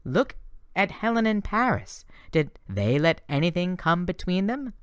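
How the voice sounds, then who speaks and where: A man voicing a vain, gushing goddess of love, high and theatrical with a dry, close sound. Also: very animated, measured pace, clear, resonant tone, no echo. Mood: coaxing.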